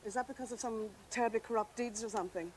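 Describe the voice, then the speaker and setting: Brisk woman presenter. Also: a little high, darkish tone, slight background noise, low-quality recording. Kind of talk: interviewing a guest.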